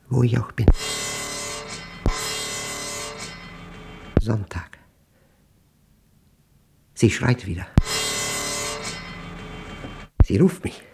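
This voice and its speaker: An actor playing an obsessed, paranoid man in a radio drama monologue, speaking intensely in halting, clipped fragments as he battles a machine he fears. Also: fairly low pitch, creaky, dark tone, slightly rough, exaggerated voice. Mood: grim resolve.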